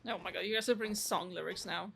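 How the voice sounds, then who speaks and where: A woman's voice: medium pitch, fast, gravelly, a little hiss or hum. A woman game streamer giving casual reaction commentary, chatty and musing as she goes. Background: background game music.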